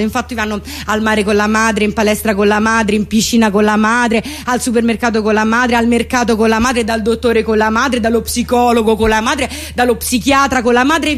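A woman's voice: fairly high pitch, a bit bright. A woman performing a comic monologue in a theatrical, mock-solemn, exaggerated way. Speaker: actress and comedian performing her own monologue.

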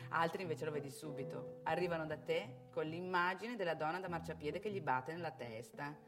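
A worldly, brash female prostitute, played by an actress speaks in a lowish voice with music clearly behind her. She is frank and instructive, delivering a theatrical monologue as a mentor. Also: a little fast, natural voice. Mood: amused, knowing. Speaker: actress in a solo stage monologue.

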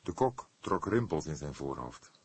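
A man narrating a detective novel as an audiobook in an expressive, scripted reading style. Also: extremely low pitch, normal speed, slightly rough, no room sound.

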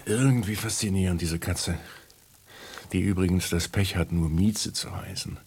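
An actor playing a wry, musing writer who narrates his story in a children's radio play, in a gravelly, husky voice. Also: low voice, moderate pace, darkish tone, a little hiss or hum. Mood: musing, amused.

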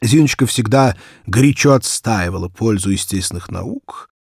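A man narrating a short story as an audiobook reader, in an expressive literary style. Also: on the low side, normal speed, vocal fry, slightly rough, no echo, clean studio sound.

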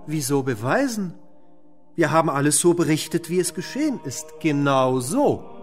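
Defensive, simple-minded monk for radio drama; higher voice, earnest and hesitant, faint music beneath. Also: male voice, very animated, moderate pace. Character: simple, defensive Dominican monk fond of beer. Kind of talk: German radio play acting.

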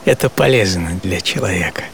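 A man acting a hardy, serene seventy-year-old sailor in an audio play monologue, reflective and intimate, with savoring pauses. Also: low-pitched, lively intonation, gravelly, a bit bright, a little hiss or hum, heavily acted. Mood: contemplative. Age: 70s. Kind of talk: first-person dramatic monologue in an audio play. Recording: music behind the voice.

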